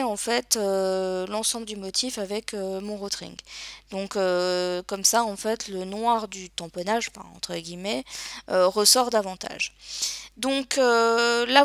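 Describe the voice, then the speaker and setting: A woman's voice: medium pitch, fairly bright tone, dry recording. A woman narrating a crafting tutorial over her video, speaking hesitantly with many filler sounds. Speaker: crafting channel host.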